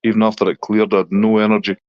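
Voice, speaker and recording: A man's voice: fairly low pitch, flat delivery, racing, no room sound, highs cut off. A middle-aged man from Scotland with a Scottish accent, chatting casually on a live stream and answering viewers' comments.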